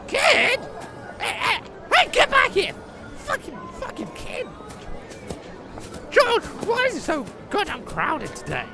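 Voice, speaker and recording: A man's voice: extremely high pitch, wildly swooping pitch, slow, creaky, long pauses, faint music behind, some chatter behind, exaggerated voice. A man voicing a foul-mouthed, short-tempered, bossy adventurer in a comedic fantasy audio drama, ranting and sarcastic. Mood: irritable, aggressive. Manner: crude, ranting, sarcastic, swinging into shouts.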